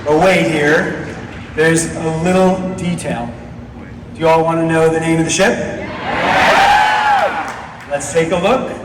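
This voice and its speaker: A man's voice: on the high side, fairly even intonation, a little slow, pauses often, distant mic. A man giving an upbeat, projected keynote presentation on stage as a company executive, addressing a live audience. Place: large darkened convention auditorium, on stage. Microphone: stage PA heard from far back in audience. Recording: audience phone recording, echoey hall, crowd noise.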